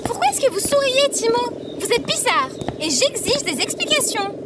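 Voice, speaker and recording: A woman's voice: very high voice, sing-song, moderate pace, very noisy. A woman playing a small, prim female robot companion in an audio drama, speaking formally and scolding with exasperation. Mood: suspicious.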